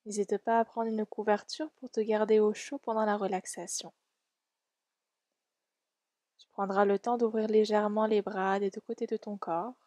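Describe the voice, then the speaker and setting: A woman leading a guided relaxation meditation in a soft, soothing, gentle and unhurried way. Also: mid-range voice, little pitch movement, no echo. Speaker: yoga nidra guide.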